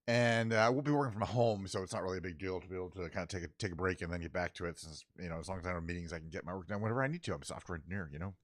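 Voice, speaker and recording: A man's voice: low voice, rapid-fire, few pauses, no echo, studio quality. A middle-aged man hosting his own livestream, chatting casually as he signs off, rambling and correcting himself, his voice a little worn. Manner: rambling, self-correcting, muttered asides. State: voice a bit worn from travel. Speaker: livestream host. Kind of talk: casual stream sign-off chat.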